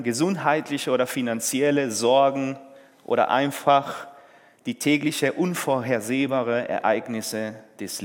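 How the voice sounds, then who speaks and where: A man from Peru preaches a sermon in German with a clear Spanish accent, his voice in the middle range for a man. He talks earnestly and lifts his voice to stress key phrases. The room has a long reverb, like a church hall. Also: mid-range voice. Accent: Peruvian Spanish. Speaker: pastor.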